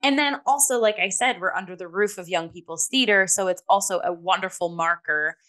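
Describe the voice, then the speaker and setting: A woman's voice: mid-range voice, a little fast, a bit bright. A woman co-hosting a review podcast, talking casually in an emphatic, reflective way. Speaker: theatre review podcast co-host.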